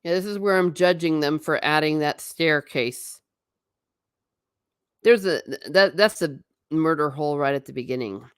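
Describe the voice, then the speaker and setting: A woman's voice: sits low, normal speed, clear, resonant tone, no echo, studio quality. A middle-aged woman chatting on a stream about travel photos, rambling and self-deprecating. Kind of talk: casual stream commentary.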